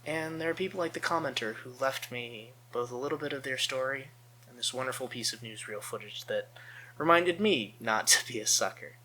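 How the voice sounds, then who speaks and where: An American man hosts earnest commentary in a bright, forward voice. His pitch is middling, and he sets out each point with deliberate emphasis. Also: a little hiss or hum, low-quality recording. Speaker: host of a political commentary channel.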